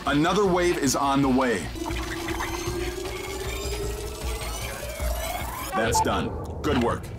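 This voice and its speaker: Man acting a game mission guide, grave, urgent, instructive, deliberate delivery, prominent musical score behind. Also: medium pitch, animated, loud music behind the voice, close to an everyday voice. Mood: focused, instructive. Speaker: mission guide over radio.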